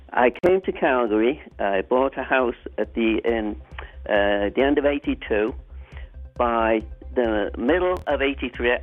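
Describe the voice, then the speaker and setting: An older man calling in to a radio talk show, telling his story haltingly with many restarts. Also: lowish voice. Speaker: caller. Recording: tinny phone line.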